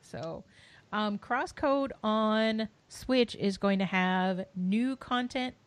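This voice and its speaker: A woman's voice: medium pitch, takes it slowly. A woman co-hosting a podcast and presenting game news in casual chat.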